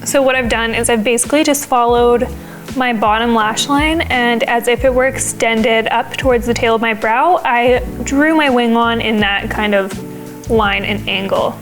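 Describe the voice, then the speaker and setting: A young woman, slightly raspy as she gets over a cold, explaining a makeup look step by step in a casual, chatty way. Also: a little high, moderate pace, soft background music. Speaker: beauty vlogger.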